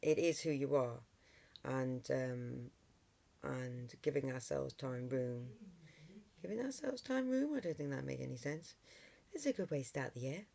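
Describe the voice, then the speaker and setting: A middle-aged vlogger with a hoarse voice from a cold, talking casually to camera with many ums and restarts. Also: mid-range voice, lively intonation, measured pace, mellow.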